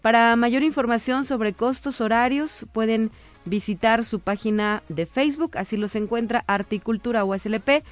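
A woman's voice: fairly high pitch, normal speed. A woman with a Mexican accent hosting a live radio programme, interviewing and making announcements. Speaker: radio host. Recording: music playing behind the voice. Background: music.

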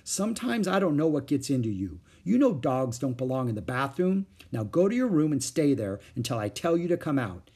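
A man voicing a stern, practical mother who scolds her children firmly, in a husky voice. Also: mid-range voice, big pitch swings, a little fast, few pauses, mellow. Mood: puzzled. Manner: firm and scolding.

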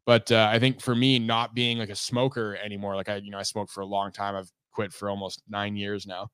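A man hosting a casual podcast chat, rambling earnestly with lots of fillers and a slightly gravelly voice. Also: lowish voice, flat delivery, fairly quick, a bit bright, dry recording. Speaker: podcast host.

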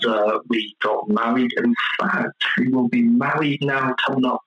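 A man's voice: medium pitch, steady tone, unhurried, roomy sound, highs cut off, a little hiss or hum. A man with an English accent, a pastor answering interview questions in a friendly, chatty way. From east of England. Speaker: pastor, podcast guest.

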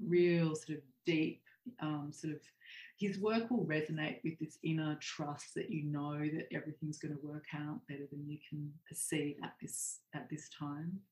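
A guest author and artist talking in a meandering, hedging way with many "sort of" and "um". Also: mid-range voice. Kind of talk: reflective interview conversation. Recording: roomy echo.

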